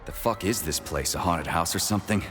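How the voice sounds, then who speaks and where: An actor playing an irritable, foul-mouthed army major in a video game, brusque, sarcastic and blunt. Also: male voice, low-pitched, a little fast, a bit bright, long reverb tail, slight background noise. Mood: hostile, skeptical. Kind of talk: scripted video game dialogue.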